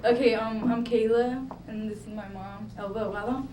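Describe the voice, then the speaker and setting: Nervous young woman, halting speech. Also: on the high side, steady tone, warm tone. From Mexico. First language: Spanish. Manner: halting, many ums and pauses. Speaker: award-winning student. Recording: echoey hall from far off, faint noise.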